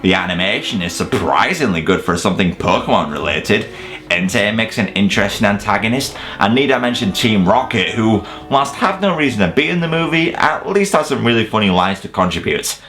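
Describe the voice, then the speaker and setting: Animated, low-pitched man reviewing films. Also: brisk. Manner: sarcastic, casually sweary. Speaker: host of a film countdown video. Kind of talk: scripted comedic review commentary.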